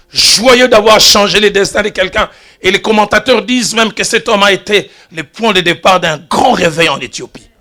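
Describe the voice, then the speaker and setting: Man, pastor preaching in church, high voice, from hushed to loud. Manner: storytelling preacher, swings from hushed asides to loud exclamations. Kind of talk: sermon.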